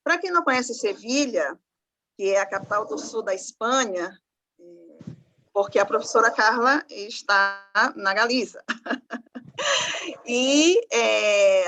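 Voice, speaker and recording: A woman's voice: fairly high pitch, expressive, fairly bright tone. Brazilian woman with a Maranhão accent. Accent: Northeastern Brazilian (Maranhão). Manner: thoughtful, with frequent fillers and pauses. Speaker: professor presenting a radio project. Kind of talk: online conference talk. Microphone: video call.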